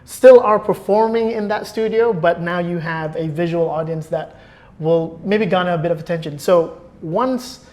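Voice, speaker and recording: A man's voice: high-pitched, normal speed, a little mellow. Man explaining his views conversationally. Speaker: podcast guest, former radio producer. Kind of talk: conversational interview, explaining opinions. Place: indoor podcast recording.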